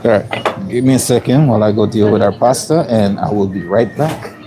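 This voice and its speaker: A man with a raspy voice casually narrating his work as a chef on a live stream from a commercial kitchen, clearing his throat often between ums. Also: fairly low pitch, brisk, crowd noise. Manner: relaxed play-by-play, frequent throat clearing and ums.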